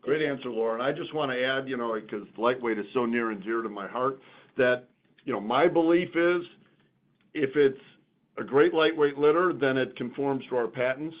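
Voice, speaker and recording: A man's voice: mid-range voice, normal speed. A man, a company executive, speaking off the cuff on an earnings call in a folksy, confident, emphatic way. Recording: tinny phone-like call line.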